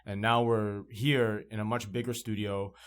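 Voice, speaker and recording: A man's voice: lowish voice, level tone, moderate pace, smooth. Casual upbeat man in his thirties. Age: 30s. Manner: upbeat, rambling with restarts. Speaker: podcast host. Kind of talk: casual podcast chat. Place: library recording studio.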